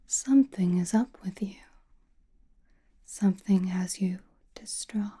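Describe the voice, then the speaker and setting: An enigmatic sorceress of chaos magic, acted out by a woman for an ASMR roleplay, whispers in a very dark, soft tone. Her delivery is slow and deliberate, breathy and cryptic, as if confiding in one listener. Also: medium pitch. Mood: cryptic, probing.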